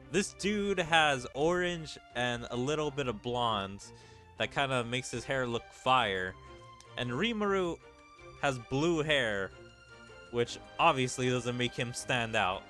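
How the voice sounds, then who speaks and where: A man's voice: mid-range voice, bright, forceful tone. A man giving casual let's play commentary, reading game dialogue and rambling in asides. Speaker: gaming streamer reading game dialogue aloud. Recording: background game music under the voice. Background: game music.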